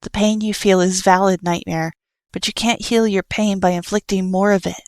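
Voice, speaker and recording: A woman voicing a patient, sorrowful child who sees everything and speaks softly and earnestly. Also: medium pitch, steady tone, moderate pace, dry recording, clean studio sound, close to an everyday voice. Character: calm all-seeing child, sorrowful and patient.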